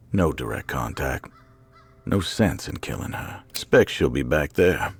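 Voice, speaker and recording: An actor playing a cool, menacing hired gun in a noir video game, sardonic and controlled. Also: male voice, a bass voice, breathy edge, dry recording, exaggerated voice. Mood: dismissive.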